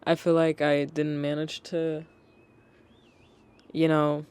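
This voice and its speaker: A young adult podcast host telling retail stories in a casual, animated way, swearing and acting out customers. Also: medium pitch, takes it slowly, smooth.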